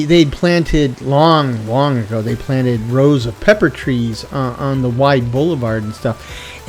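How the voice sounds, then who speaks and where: A middle-aged American man chatting casually and at length, wandering off track and correcting himself as he talks. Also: mid-range voice. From USA. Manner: meandering, self-correcting, reflective. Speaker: video game streamer. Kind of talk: rambling casual chat.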